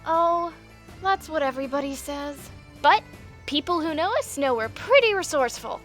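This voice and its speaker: A woman's voice: high voice, animated, normal speed, a bit bright, soft background music. A woman playing an earnest, resourceful teenage magical girl in a fan dub. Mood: confident. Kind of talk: fan dub character acting.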